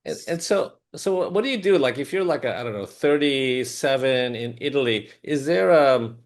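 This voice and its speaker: A man hosting a casual interview. He asks questions in a halting way, often restarting mid-sentence and filling with "like" and "you know.". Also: mid-range voice, animated. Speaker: host.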